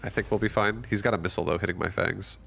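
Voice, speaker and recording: A man's voice: on the low side, fairly even intonation, fast, creaky, a bit bright. A man casually thinking aloud and commenting on his own strategy game as he streams it. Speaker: gaming streamer.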